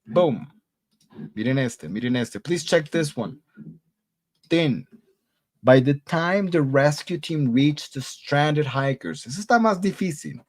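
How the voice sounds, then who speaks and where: Guy teaching english online, kinda high voice, keeps saying muy bien, hops between spanish english portuguese. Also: animated, measured pace, long pauses. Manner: repeats praise like muy bien. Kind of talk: live online class reading student answers.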